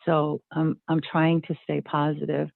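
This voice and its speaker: A woman's voice: low voice, monotone, measured pace, vocal fry, no echo. Middle-aged woman on a phone-like line. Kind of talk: casual personal sharing. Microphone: video call. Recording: very thin, phone-like call audio.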